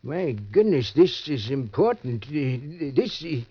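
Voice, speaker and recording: Slow, high, dithering little man. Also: sing-song, slightly rough, no room sound, exaggerated voice. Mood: anxious dithering. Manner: hesitant, fretful, mumbling, stammering. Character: timid, fussy, dithering little old-fashioned gentleman. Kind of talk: old-time radio character acting. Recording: dull, dry vintage radio recording.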